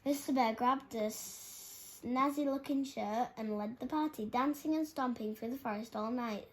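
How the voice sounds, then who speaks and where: A woman's voice: fairly high pitch, measured pace. A woman reading a children's storybook aloud as its narrator. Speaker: storybook reader. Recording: clearly noisy background.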